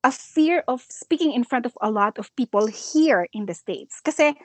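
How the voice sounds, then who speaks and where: A woman's voice: a little high, expressive, normal speed, dry recording. An older woman with a Filipino accent, chatting as a podcast guest, reflective and full of fillers and restarts. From Philippines. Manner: reflective, many fillers and restarts, laughs easily. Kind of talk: casual interview chat mixing English and Filipino.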